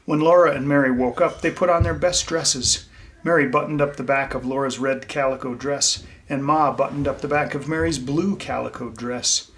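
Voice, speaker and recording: A man with a mid-pitched voice reads aloud for children in a simple, explanatory way. He speaks directly to his young listeners, as a teacher would. There is some noise and clicking on the recording. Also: fairly even intonation, moderate pace, a bit bright, poor audio. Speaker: teacher reading a picture book aloud to children.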